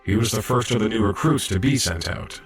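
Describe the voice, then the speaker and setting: An actor playing an earnest, modest young robot apprentice medic in an audio drama, reflective and a little hesitant. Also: male voice, lowish voice, brisk, soft background music, close to an everyday voice. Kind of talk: audio drama acting and narration.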